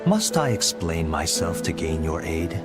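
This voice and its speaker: A composed, courteous crown prince in a video game. The voice is very deep and expressive, with formal, resigned dignity, under loud music. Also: male voice. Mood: proud, resigned. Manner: formal, measured wording. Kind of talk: animated game cutscene acting. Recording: loud music over dialogue.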